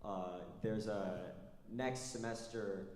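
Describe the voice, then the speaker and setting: A male student speaks in a halting way, pausing between phrases. His voice is very low and mellow. He is heard in an echoey hall with a long reverb. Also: a little hiss or hum. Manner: hesitant, halting. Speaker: student on jazz workshop board.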